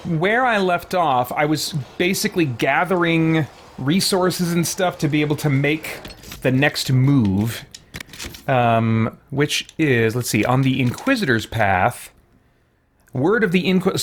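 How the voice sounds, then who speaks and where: A man giving an informal, rambling explanation to a live stream chat, digressing as he goes. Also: a little high. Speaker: game livestreamer.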